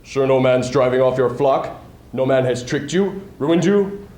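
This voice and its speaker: A man's voice: medium pitch, measured pace, darkish tone, natural voice. A man voicing a sleep-deprived neighboring Cyclops in a dramatic poetry recitation, calling out in a declamatory way. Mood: annoyed. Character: band of neighboring male Cyclopes, gruff, sleep-deprived giants. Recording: echoey hall recording.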